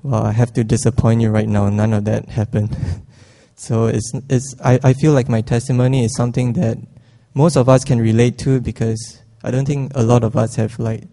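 A man's voice: medium pitch, level tone, normal speed, vocal fry. A young man from Singapore giving a prepared church testimony, hesitant and full of fillers, with a voice he says others call whiny and robotic. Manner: hesitant, many fillers, soft-spoken.

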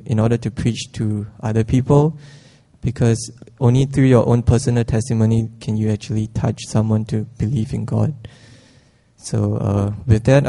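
A young man from Singapore giving a prepared church testimony, hesitant and full of fillers, with a voice he says others call whiny and robotic. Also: mid-range voice. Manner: hesitant, many fillers, soft-spoken.

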